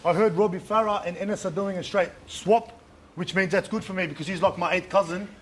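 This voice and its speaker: A man's voice: high voice, fairly quick, noisy background, close to an everyday voice. An Australian man playing a cocky, hyped-up young suburban DJ with slangy, boastful bro-heavy talk. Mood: cocky, indignant. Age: young adult. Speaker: comedy performer on a sports show. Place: TV studio and filmed sketch locations. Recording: loud music and crowd behind voice.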